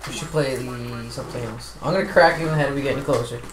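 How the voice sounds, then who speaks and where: A man's voice: mid-range voice, very fast, noisy background. A teenage boy playing a video game with his brother, blunt and sarcastic, often mumbling. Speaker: co-player. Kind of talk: casual let's play banter. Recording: roomy, with game music.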